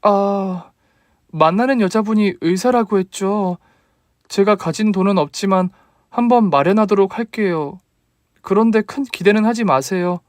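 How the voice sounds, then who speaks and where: A young daughter-in-law who stays courteous yet pushes back firmly, her voice lifted noticeably higher, as a man puts her on. The delivery is earnest and a little indignant. The sound is dry and close. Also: unhurried, dry recording. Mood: polite. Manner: polite, indignant, pleading. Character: young wife, polite but firm daughter-in-law.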